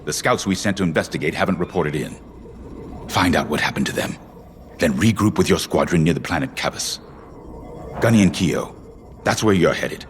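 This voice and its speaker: An actor playing a stern, measured rebel commander in a video game, giving clipped, formal and authoritative military orders. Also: male voice, low voice, expressive, a little hiss or hum. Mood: commanding. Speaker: squadron commander giving briefings. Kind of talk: scripted cinematic game acting. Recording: loud orchestral score behind. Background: cinematic music.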